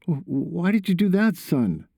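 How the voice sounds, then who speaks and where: Audiobook father, played by a male narrator: stern yet protective, earnest, urgent, lively pitch movement. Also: unhurried, vocal fry, breathy edge, dry recording, clean studio sound, full character voice. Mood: disbelieving. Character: worried father, stern but caring, firm and protective.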